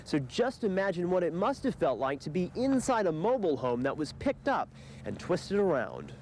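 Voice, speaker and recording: Guy doing a tv news report, highish voice, very animated pitch, clear noise behind him. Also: moderate pace. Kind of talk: scripted news package narration.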